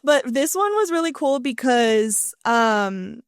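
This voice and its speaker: A woman's voice: fairly high pitch, animated, slow pace, clear, resonant tone, dry recording, studio quality. A woman co-hosting a podcast, giving her take on films in an informal, slangy, chatty style. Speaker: podcast co-host. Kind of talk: casual film review chat.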